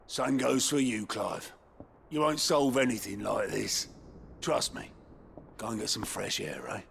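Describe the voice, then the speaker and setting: Gravelly, blunt British common man. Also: lowish voice, measured pace, fairly bright tone, slight background noise, exaggerated voice. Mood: blunt, caring. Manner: blunt, colloquial. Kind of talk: dramatic video game acting.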